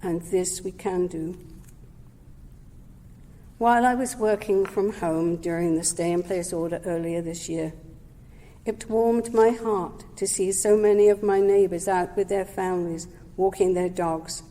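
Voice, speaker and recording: A woman giving a prepared talk at a Sunday service, measured and gentle, sometimes correcting herself mid-word. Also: on the low side. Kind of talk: prepared talk read aloud. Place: service hall. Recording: long hall reverb.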